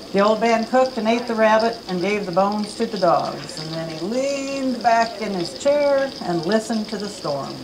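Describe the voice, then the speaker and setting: A woman at a library event reading a children's story aloud with dramatic, expressive delivery. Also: lowish voice, background noise. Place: campus event room.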